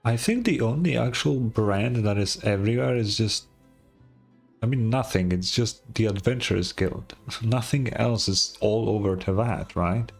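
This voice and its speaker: A man's voice: on the low side, measured pace, gravelly, husky. A man giving laid-back, hesitant commentary as he streams a video game playthrough. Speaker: gaming streamer. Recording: faint game music behind.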